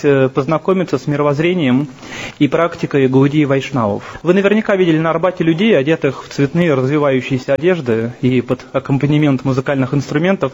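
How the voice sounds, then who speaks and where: A man in his thirties giving a recorded spiritual lecture from a temple, in an earnest, measured and personal way. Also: a little high, a little mellow, slight background noise. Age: 30s. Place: seated in a temple. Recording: dull lo-fi recording with faint ambience.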